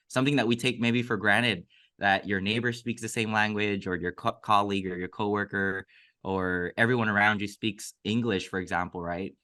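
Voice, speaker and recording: A man's voice: lowish voice, pushed and bright. A man from Mexico whose first language is Cantonese, a podcast guest telling a personal story in an earnest, reflective way. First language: Cantonese (Toisan). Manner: reflective, earnest, many fillers. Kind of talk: casual storytelling interview.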